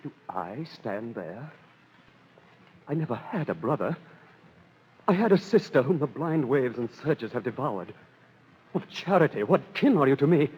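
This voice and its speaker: An emotional young gentleman in an old radio play. He declaims ardently, husky and swooping in pitch, on a muffled recording. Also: very animated, a little slow, long pauses, in a large hall. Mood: wondering. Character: ardent young gentleman, shipwrecked twin brother. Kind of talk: 1930s radio Shakespeare acting.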